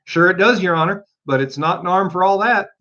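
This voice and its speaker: A man's voice: high-pitched, brisk, sharp tone, highs cut off, voice pushed up. A man voicing a deferential, rustic male animal servant from a storybook, folksy and obsequious. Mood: deferential, uneasy.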